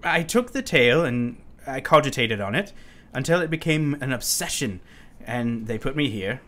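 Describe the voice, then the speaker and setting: An actor playing an unsettled, pleading asylum inmate in a radio play, speaking formally and urgently. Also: male voice, mid-range voice, animated, moderate pace, sharp tone. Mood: brooding. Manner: formal, urgent, given to sudden chuckles.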